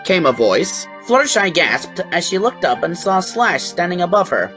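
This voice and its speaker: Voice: medium pitch, big pitch swings, normal speed, a bit bright, soft background music. A narrator reading a scripted fan fiction story.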